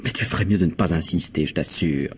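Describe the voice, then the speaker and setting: Deep-voiced, weary troubled student son. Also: male voice, fast, husky. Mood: exhausted, evasive. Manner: weary, hesitant, evasive. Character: troubled, evasive student son hiding a killing. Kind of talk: French radio mystery drama acting.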